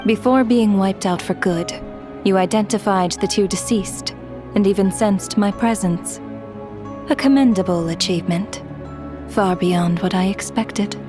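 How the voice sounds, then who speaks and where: An imperious female spirit who rules over death voiced by a woman speaks in formal, condescending phrases to the mortals before her. It is dramatic video game acting in a mid-range voice, with clear music underneath. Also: mid-range voice, unhurried, lightly acted. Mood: imperious calm. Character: haughty female Ruler of Death, an otherworldly spirit. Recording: music behind the voice.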